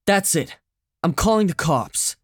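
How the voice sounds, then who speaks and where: A man's voice: high-pitched, animated, a little slow, breathy edge, no room sound, studio quality, pitched above the performer's own voice, full character voice. A man voicing a skinny, fiery, indignant teenage boy in an audiobook. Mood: alarmed. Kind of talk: audiobook character dialogue.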